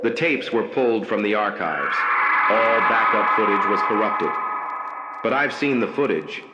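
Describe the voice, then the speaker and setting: A man with a fairly low voice narrates a scripted horror tale in a slow, measured, ominous way. His voice rings in a roomy, echoing space. A steady wash of background noise sits under every line. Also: highs cut off. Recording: echoey room with background noise.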